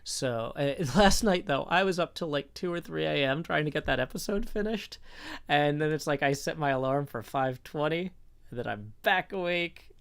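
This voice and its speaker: Gravelly-voiced man chatting on stream. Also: on the high side, lively intonation, normal speed, fairly bright tone. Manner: rambling, chatty, reads and answers chat. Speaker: livestreamer. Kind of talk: casual live-stream chat while playing.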